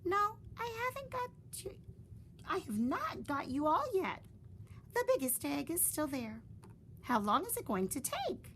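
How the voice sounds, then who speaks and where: A woman voicing a protective mother duck in a storybook, pitched well above her own voice. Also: sing-song, unhurried, fairly bright tone, a little hiss or hum, heavily acted. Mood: fretful. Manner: high, fierce when defending her young.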